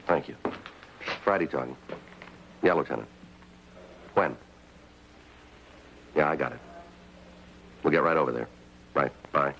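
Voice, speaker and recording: An actor playing a weary, deadpan American police detective sergeant in clipped 1950s crime drama style. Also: male voice, a bass voice, unhurried, vocal fry, long pauses, slight background noise, full character voice. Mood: businesslike, weary. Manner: deadpan, matter-of-fact, dry. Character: terse, weary police detective sergeant, male, middle-aged. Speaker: lead detective and narrator. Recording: muffled 1950s TV soundtrack, music behind.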